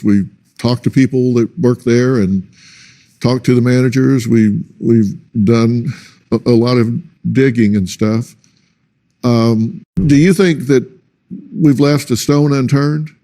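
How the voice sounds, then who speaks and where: A man hosting a talk show, asking probing questions in a deliberate way with small restarts, in a television studio. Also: medium pitch, warm tone.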